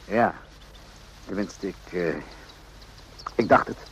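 An actor playing a composed, probing army captain in a radio drama, speaking in a measured, understated way with hesitant pauses. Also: male voice, deep voice, husky, full character voice. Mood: guarded, measured. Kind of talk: radio drama acting in Dutch. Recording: dull, muffled old radio recording.

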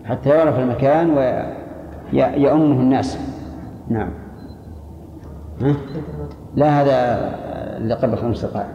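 A man's voice: medium pitch, moderate pace, long pauses. An older man with a Saudi accent, a religious scholar explaining texts and answering questions in a lesson. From Saudi Arabia. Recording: muffled, echoey hall, faint noise.

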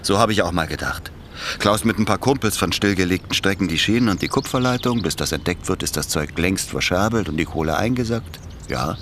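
A low-pitched man's voice over faint background noise, a petty thief and reluctant accomplice in a radio play. He is plain-spoken and cautious, hesitating and muttering his doubts in everyday colloquial speech. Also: lively intonation, fairly quick, fairly bright tone. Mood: cautionary, rueful. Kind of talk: naturalistic German radio play dialogue.

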